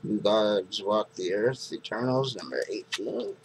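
Man, 30s, low voice, unhurried home webcam vlog recorded in a bedroom. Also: gravelly, slight background noise, low-quality recording. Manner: slow, plain listing of items. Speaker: comic collector vlogger. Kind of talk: casual show-and-tell vlog. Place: small bedroom, indoors. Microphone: webcam at close range.

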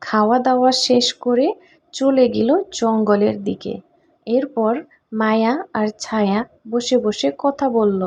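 High-pitched woman storyteller. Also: steady tone, slow, creaky, a little mellow. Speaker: narrator. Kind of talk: scripted storytelling narration for a cartoon.

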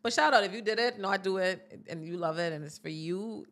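A young woman co-hosting a podcast, chatting bluntly in a small studio on a boom mic and laughing often. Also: brisk, fairly bright tone. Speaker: podcast co-host. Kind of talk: casual chat. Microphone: boom mic on stand.

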